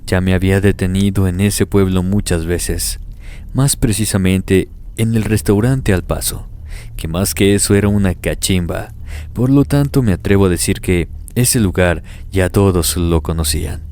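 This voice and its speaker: A man narrating first-person horror stories in a grave, steady, scripted delivery. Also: sits low, normal speed. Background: faint background music.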